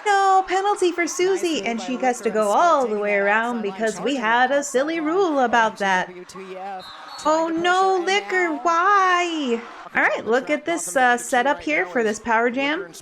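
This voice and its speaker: A woman with a fairly high voice gives casual sports commentary with a clearly audible crowd behind her. She is playful and expressive, chatting through the action and speaking for the players as she goes. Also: a little slow. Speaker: roller derby commentator and former player. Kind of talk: casual game rewatch commentary. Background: roller derby game crowd.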